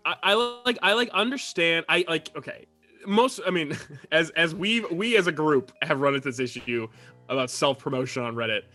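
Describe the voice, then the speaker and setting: Young man rambling brightly over music. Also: fairly high pitch, moderate pace. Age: young adult. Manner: rambling, profane. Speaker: podcast co-host. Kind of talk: casual group chat.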